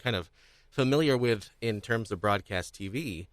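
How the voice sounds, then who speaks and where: A man with a low voice chats casually as a podcast co-host. He rambles, hedging and rephrasing midway through his sentences. The sound is dry, with hardly any room in it. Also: expressive, moderate pace, no echo. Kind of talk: casual discussion of storytelling.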